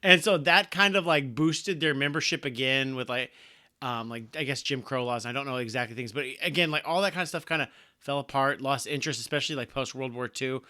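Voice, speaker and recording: A man's voice: medium pitch, a little fast, pushed and bright. A man co-hosting a comics podcast, talking casually with rambling, wry asides. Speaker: podcast co-host. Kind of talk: casual chat.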